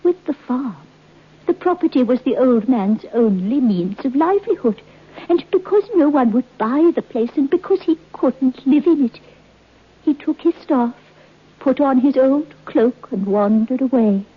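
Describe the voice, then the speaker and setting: An actress playing a tiny, shrewd, knowing seamstress who tells old gossip as a deliberate, dramatic radio storyteller. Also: female voice, fairly high pitch, breathy edge. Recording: tinny old radio recording.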